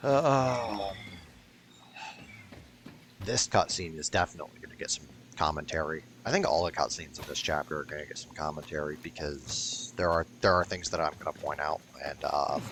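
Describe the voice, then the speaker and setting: A man's voice: rumbling low, a little fast, a bit bright, a little hiss or hum. A man giving casual let's-play commentary on a video game, with a dry, grumbling manner. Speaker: gaming commentator.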